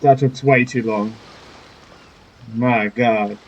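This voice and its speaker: A man's voice: medium pitch, steady tone, slow, highs cut off. A man commentating casually as he plays a video game, informal and reactive. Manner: informal, reactive, swears mildly. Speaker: gaming streamer. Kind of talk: casual let's-play commentary. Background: game music.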